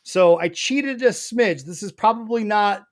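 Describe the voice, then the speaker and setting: A man's voice: high-pitched, unhurried. A man co-hosting a casual podcast, chatting in an easygoing way. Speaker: co-host.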